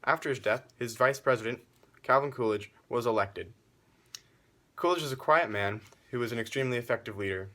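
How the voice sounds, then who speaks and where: A man's voice: mid-range voice, pushed and bright. Man presenting a formal scripted news segment. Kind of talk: scripted news report set in the 1920s.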